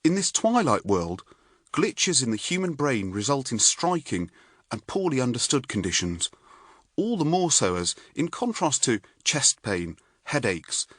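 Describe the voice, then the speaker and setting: Audiobook narrator: British man, middle-aged, medium pitch with animated inflection, measured scripted delivery, very dry recording. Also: no room sound. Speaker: author and neurologist reading own audiobook.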